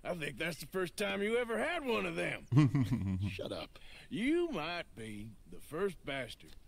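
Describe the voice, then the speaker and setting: An actor playing a rough cowboy outlaw in a video game, talking in a gruff, dry drawl. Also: male voice, on the high side, wildly swooping pitch, measured pace, full character voice. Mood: scheming. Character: rough cowboy outlaw planning a train job.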